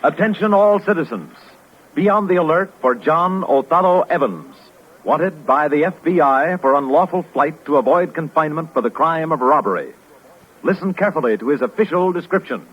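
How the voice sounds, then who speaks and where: Husky, emphatic 1950s American radio announcer man. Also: on the high side, lively intonation, moderate pace. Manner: formal, emphatic. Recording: muffled vintage radio broadcast recording.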